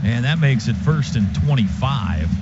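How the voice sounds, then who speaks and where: A man's voice: mid-range voice, expressive, unhurried. A man doing live radio play-by-play of a football game in short, clipped present-tense phrases. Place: stadium radio booth. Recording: dull lo-fi radio broadcast, stadium noise. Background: clear stadium noise.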